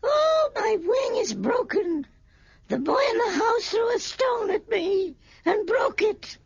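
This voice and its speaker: Shrill, very high little songbird. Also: female voice, very animated, no echo, full character voice. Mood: plaintive. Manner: shrill, drawn-out singsong delivery. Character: excitable little songbird, boastful and fluttery. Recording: dull old radio recording.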